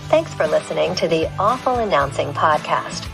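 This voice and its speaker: A woman's voice: deep voice, lively intonation, normal speed, gravelly, low-quality recording. A woman reading a scripted promotional podcast outro as an announcer. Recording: music bed behind the voice.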